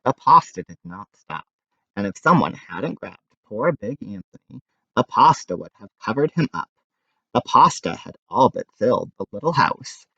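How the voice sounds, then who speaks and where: Animated man reading a children's picture book. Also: lowish voice, moderate pace, gravelly, dry recording, muffled recording. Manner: expressive, informal asides on the pictures. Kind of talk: animated children's read-aloud.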